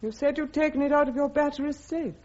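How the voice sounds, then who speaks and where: An actress playing a reluctant army wife giving evidence in a radio courtroom drama, guarded, hesitant and terse. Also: female voice, high voice, quick pace, darkish tone, natural voice. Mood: reluctant, evasive. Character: reluctant, evasive army major's wife on witness stand. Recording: dull radio drama recording.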